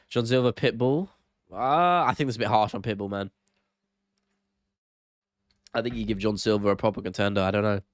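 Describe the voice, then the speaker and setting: A young man hosting a casual live stream, sick with a cold so he sounds nasal and gravelly, chatting and apologizing as he reads out viewer comments. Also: fairly low pitch, no room sound, clean studio sound. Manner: apologetic, relaxed, chatty, thinking out loud. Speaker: MMA live-stream host.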